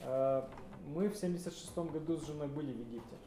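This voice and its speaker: Hesitant interpreter, mellow voice, echoey hall. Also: male voice, on the high side, quick pace, a little hiss or hum. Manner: hesitant, with filler sounds and self-corrections. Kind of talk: live consecutive interpreting of a sermon. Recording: roomy hall with faint ambience.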